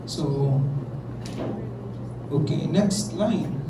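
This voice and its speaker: A man's voice: a little high, drawn-out words. A man with a Filipino accent leading a university workshop. He mixes English with local phrases and keeps questioning the students. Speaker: workshop facilitator. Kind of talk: classroom workshop teaching. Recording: roomy, distant, noisy room recording.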